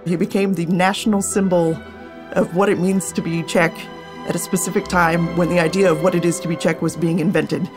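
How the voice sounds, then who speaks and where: A woman's voice: lowish voice, steady tone, brisk, over music. A woman speaking as an interviewed scholar, explaining things casually and thinking aloud as she goes. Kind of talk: interview answers.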